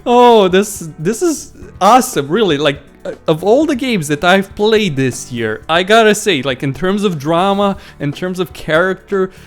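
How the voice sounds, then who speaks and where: A man's voice: high voice, lively intonation, unhurried, few pauses. A man hosting a let's play, reading a visual novel aloud and reacting informally with some mild swearing, with game music behind him. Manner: acts out dialogue and comments informally with mild swearing.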